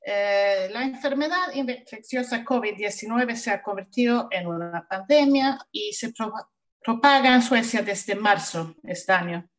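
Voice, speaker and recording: A Swedish woman, speaking Spanish as a second language, reads a prepared talk carefully as a panelist on a video call, with small hesitations. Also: a little high. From Sweden. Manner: careful, deliberate reading with hesitations. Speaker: social worker, panelist.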